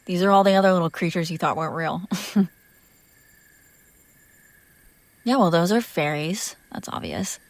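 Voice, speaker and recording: A woman acts out a young female vampire master in an intimate roleplay audio drama. Her voice sits a little low and is recorded very dry and close. She talks casually and haltingly, teasing and protective, slipping at times toward a breathy whisper. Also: no echo, close to an everyday voice. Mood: amused, playful.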